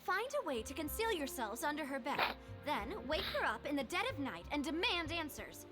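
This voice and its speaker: A confident young lady boss in a video game. The voice is high and very expressive, playful and theatrical yet polite, with music underneath. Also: brisk. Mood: playful, mischievous.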